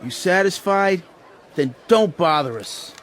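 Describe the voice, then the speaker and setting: An actor playing a snide, excitable, loyal henchman in a radio drama, brash and sneering. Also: sits high, unhurried, crowd noise, noisy background. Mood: excited, defiant.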